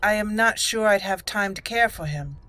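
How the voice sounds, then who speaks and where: A woman hosting a roleplay game playthrough, deliberately narrating her actions aloud. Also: medium pitch, fairly bright tone. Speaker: gaming channel host. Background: faint game music.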